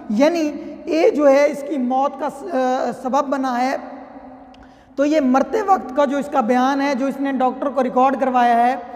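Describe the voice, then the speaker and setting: A man with a Pakistani accent giving an explanatory law lecture in a conversational way, reading out and explaining. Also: very high voice. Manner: conversational, reads clauses then explains them. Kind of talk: explanatory lecture with worked examples. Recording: long reverberant hall-like echo.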